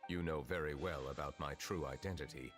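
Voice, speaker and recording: An actor playing a grave male judge who is an ancient dragon in human form, speaking in a formal, measured way. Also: rumbling low. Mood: solemn. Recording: game audio over loud music.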